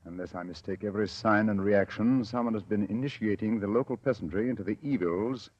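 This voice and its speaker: Male actor as composed English gentleman sleuth, lowish voice, incisive, deliberate, dull vintage radio sound. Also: close to an everyday voice. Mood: grave, measured. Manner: crisp, authoritative, deliberate. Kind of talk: 1940s radio drama acting. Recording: muffled old radio recording.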